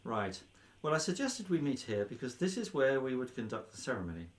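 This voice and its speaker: A male actor playing a breezy, nosy, officious vicar in a radio comedy, briskly polite and openly biased. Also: mid-range voice, very animated, natural voice. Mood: polite, businesslike. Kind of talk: radio play comedy acting.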